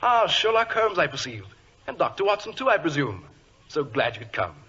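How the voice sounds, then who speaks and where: An actor playing an affable, chatty, fussy British gentleman in a 1940s radio drama, effusive and a little flustered, with a husky voice. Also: on the high side, big pitch swings, a little fast, heavily acted. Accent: British English. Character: affable, chatty, fussy English gentleman, future brother-in-law. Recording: dull old radio recording.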